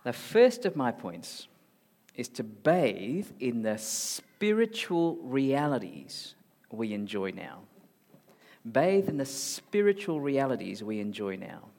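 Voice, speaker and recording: A man from Malaysia with a mixed Malaysian, Singaporean and Australian accent, preaching a sermon emphatically and rising to loud high emphasis. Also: very animated, slow, gravelly. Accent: mixed Malaysian, Singaporean and Australian English. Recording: hall reverb.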